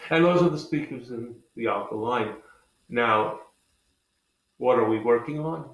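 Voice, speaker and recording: A man's voice: mid-range voice, unhurried. A man speaking as an interviewed company president, explaining products conversationally and with enthusiasm. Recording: echoey, roomy sound.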